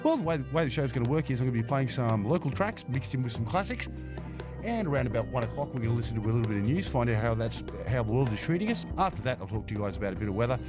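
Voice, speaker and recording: A man hosting a community radio show, upbeat and chatty, his voice croaky from a cold. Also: medium pitch, lively intonation, very fast. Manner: upbeat, chatty, repeats phrases. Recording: music bed behind the voice.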